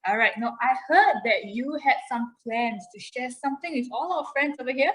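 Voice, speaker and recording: Kids' show host: woman, high voice, exclamatory audience address, echoey room sound. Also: animated, moderate pace. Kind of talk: children's live show presenting. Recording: roomy, echoing space.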